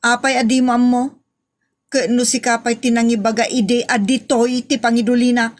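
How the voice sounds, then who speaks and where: A woman playing a young wife in a comedy drama, talking quickly and accusingly. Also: on the high side, flat delivery, close to an everyday voice. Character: young wife, daughter-in-law. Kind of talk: Ilocano comedy drama acting.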